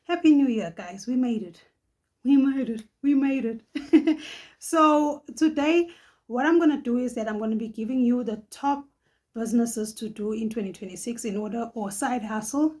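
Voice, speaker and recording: A middle-aged woman from Johannesburg with a South African accent, hosting a casual advice vlog, chatty and quick to laugh, often tagging her sentences with "okay?". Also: fairly high pitch, darkish tone, husky. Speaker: channel host.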